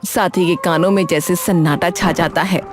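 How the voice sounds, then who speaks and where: A woman's voice: lowish voice, fairly even intonation, normal speed, over music. A woman narrating a scripted cartoon story.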